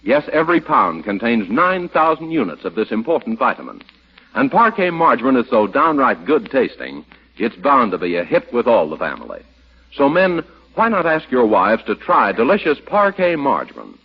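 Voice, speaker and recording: Husky, lively 1940s radio announcer man. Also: medium pitch, moderate pace. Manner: polished, emphatic salesman delivery. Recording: muffled old 1940s radio recording.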